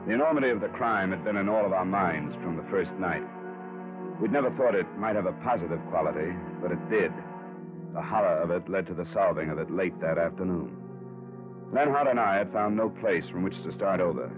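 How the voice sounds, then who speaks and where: An actor playing a tough, skeptical insurance investigator in a 1950s radio crime drama, terse and world-weary. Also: male voice, low-pitched, lively intonation, fairly quick. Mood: somber narration. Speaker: lead actor and narrator. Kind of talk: 1950s hard-boiled radio crime acting. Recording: muffled old radio recording with music.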